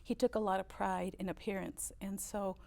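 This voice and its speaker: A woman in her fifties, German her first language, recalls her family's history in an unhurried interview. She tells her stories at a middle pitch, pausing often on "uh" and warming up as each story goes on. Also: little pitch movement, creaky. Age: 50s. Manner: storytelling, warm recollection with frequent "uh" fillers. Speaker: interview guest, business owner. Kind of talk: relaxed interview conversation.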